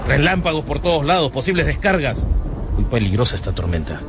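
An actor as a wary, animated motel keeper. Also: male voice, medium pitch, brisk, close to an everyday voice. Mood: suspicious. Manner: curt, distrustful, challenging questions. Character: wary, suspicious motel keeper, curt and mercenary. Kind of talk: radio drama acting.

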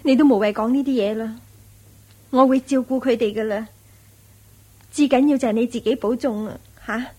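A woman's voice: fairly high pitch, steady tone, moderate pace, pauses often, mellow, husky. An actress playing a hard-working, caring young wife and mother in a radio drama, solicitous and resigned, with a Hong Kong Cantonese accent. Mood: sad, resigned. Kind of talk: Cantonese-language Buddhist radio drama acting.

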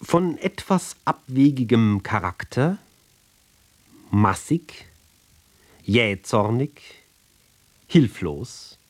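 A man giving a literary reading of narrative poems, with deliberate, measured phrasing and pauses between short fragments. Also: fairly low pitch, a little mellow.

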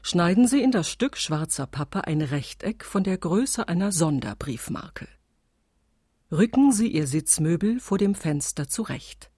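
The female voice of a how-to recording, low and very mellow. She gives step-by-step instructions in a measured, matter-of-fact manner, dry and close, for a radio play. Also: breathy edge, no room sound, full character voice. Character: calm, exact female narrator of an instruction recording.

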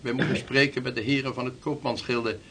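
An actor in a radio play playing a dignified merchant official who addresses a council in formal, ceremonious speeches. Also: male voice, medium pitch, steady tone, a little fast, sharp tone.

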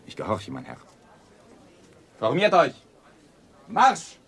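An actor playing a correct, commanding military lieutenant in a German radio drama, with clipped, formal delivery. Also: male voice, fairly high pitch, wildly swooping pitch, slow pace, vocal fry, fairly bright tone, exaggerated voice. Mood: stiff courtesy.

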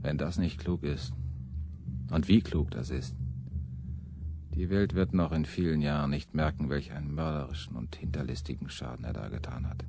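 An actor in a German radio play playing a fiery, embittered radical preacher, with a husky, declamatory and biting delivery. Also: male voice, very deep voice, fairly even intonation, moderate pace, over music. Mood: bitter, sardonic.

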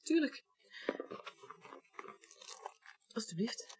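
An actress playing an evasive, flustered young woman suspect in a radio drama, halting and repeating words defensively. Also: noisy background, close to an everyday voice. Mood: nervous, cooperative. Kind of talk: 1980s Dutch radio drama acting.

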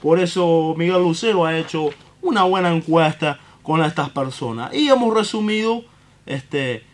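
Comic Cuban radio host, male actor: high voice, tippling, excitable, rambling, repetitive. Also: normal speed, natural voice. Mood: showy, rambling. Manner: rambling, repeats phrases, bumbling. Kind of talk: comic sports radio presenting.

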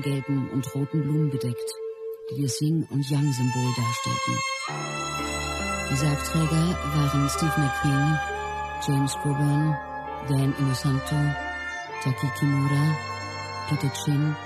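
Grieving widow, radio drama narration, measured, sober, strong musical backing. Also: female voice, very deep voice. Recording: music playing behind the voice.

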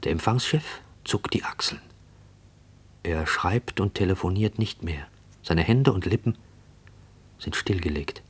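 A man narrating a radio drama in a deliberate, literary manner. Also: sits low, lively intonation, breathy edge, full character voice. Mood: quiet, observant.

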